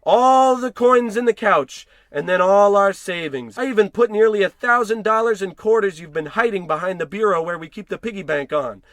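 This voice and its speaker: A man's voice: high voice, normal speed, few pauses, fairly bright tone, no room sound. A man playing a frantic, paranoid husband in an old-time radio play, ranting melodramatically at his wife. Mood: frantic, obsessive.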